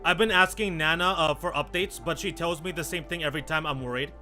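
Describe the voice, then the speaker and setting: Game schoolgirl role, read by a male streamer: polite, eager, apologetic, pitched high with a bright edge. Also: fast, soft background music. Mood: polite worry. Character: earnest, apologetic young girl student reading her messages.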